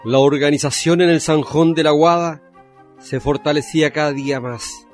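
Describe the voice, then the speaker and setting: Male narrator over soft music. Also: on the high side, fairly even intonation, moderate pace. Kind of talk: scripted radio drama narration.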